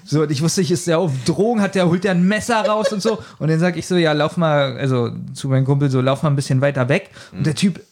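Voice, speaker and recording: A man's voice: fairly high pitch, fast, few pauses, darkish tone. A man talking casually as a podcast co-host, telling things in a loose, colloquial way. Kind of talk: casual chat.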